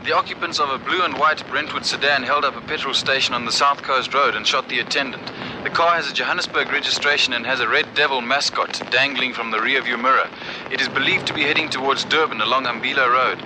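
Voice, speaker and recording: Actor as a formal police dispatcher. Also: male voice, medium pitch, background noise, lightly acted. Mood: official, urgent. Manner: measured, official. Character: formal, clipped police radio control room dispatcher. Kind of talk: vintage radio drama acting. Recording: old radio recording, background chatter.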